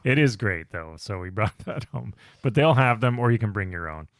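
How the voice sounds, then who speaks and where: A man's voice: fairly low pitch, animated, normal speed, dry recording, clean studio sound. A man co-hosting a podcast in casual chat, explaining things in a chatty, enthusiastic way. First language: English. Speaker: podcast co-host.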